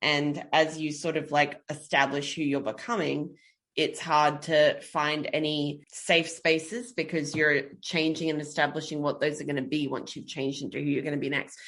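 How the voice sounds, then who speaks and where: A woman's voice: sits low, level tone, moderate pace, a bit bright. A woman speaking as a guest on a podcast in casual chat. She talks thoughtfully and earnestly, explaining her views at length.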